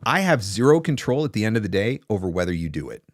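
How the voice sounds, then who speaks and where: A man's voice: lowish voice, big pitch swings, quick pace, no echo. A man in his forties talking casually and emphatically as a livestream host and coach, close on a desk microphone in a small home studio. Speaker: host, voice-over marketing coach. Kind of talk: casual livestream advice talk, answering comments.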